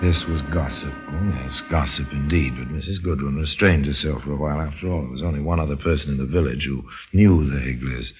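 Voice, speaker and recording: A man narrating a scripted mystery radio drama in a deliberate, dramatic storytelling manner. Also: a bass voice, muffled recording, loud music behind the voice.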